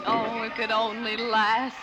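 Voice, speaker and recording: High-voiced anxious wife, old radio drama. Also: female voice, monotone, takes it slowly. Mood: wistful, worried. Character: anxious, fearful young wife on the run. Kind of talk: 1950s radio drama acting. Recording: restored 1950 radio broadcast, music behind.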